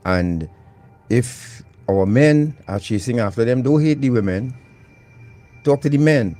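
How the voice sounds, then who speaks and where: A man's voice: medium pitch, lively intonation, unhurried, dark tone, soft background music. A man hosting a live radio talk show, chatty and prone to repeating words. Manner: conversational, repeats words while searching.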